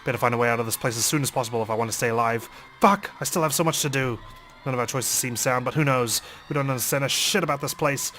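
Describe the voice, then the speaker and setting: A man's voice: medium pitch, fast talker, pushed and bright, slight background noise. A man hosting a let's play, reading a visual novel aloud dramatically and commenting on it.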